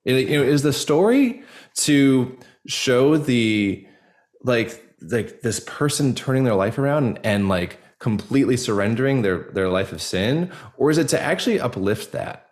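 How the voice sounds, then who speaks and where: A man speaking casually and informally as an interview guest, in a halting way with many filler 'likes'. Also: mid-range voice, animated, darkish tone. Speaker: actor, podcast guest. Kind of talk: casual personal interview talk.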